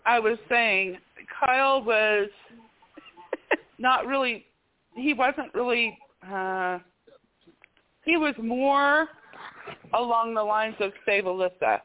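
A man's voice: very high-pitched, slow pace, long pauses. A man in a casual podcast group chat, agreeable, with many short affirmations. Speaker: podcast panelist. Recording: phone-like call audio.

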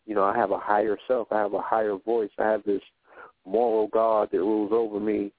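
A man with a low, flat voice talks in a reflective, unhurried way on a radio roundtable. He often checks in with his listeners as he goes. His voice is thin and dry, as if it comes down a phone line. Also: no echo. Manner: reflective, repeats "you know what I'm saying". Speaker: radio show guest. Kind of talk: casual roundtable talk.

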